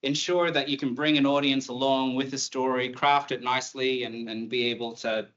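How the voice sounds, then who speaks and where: An Irish man in his thirties talks over a video call, chatty and easygoing, with a few jokes at his own expense. His voice sits at an average pitch for a man. The audio is somewhat dull, like a laptop microphone. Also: little pitch movement, normal speed, fairly bright tone. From Ireland. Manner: conversational, with light self-deprecating humor and frequent fillers. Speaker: university lecturer. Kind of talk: online presentation talk.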